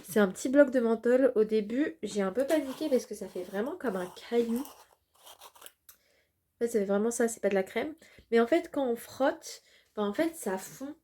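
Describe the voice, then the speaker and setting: A woman's voice: on the high side, expressive, normal speed, dark tone, a little hiss or hum. A woman in her twenties chatting casually to camera at home in a vlog, gushing and using many fillers. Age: 20s. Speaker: vlogger and design student.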